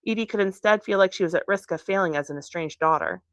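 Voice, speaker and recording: A woman reading from a novel aloud as its author, in an expressive storytelling style. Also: medium pitch, quick pace, no room sound, clean studio sound. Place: bookstore reading.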